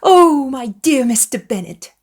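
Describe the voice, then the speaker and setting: An excitable, gossipy middle-aged wife and mother in a period audiobook, as a woman acts her out. She gushes emphatically in long run-on chatter, with her pitch lifting and swooping. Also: measured pace, exaggerated voice. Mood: gushing delight.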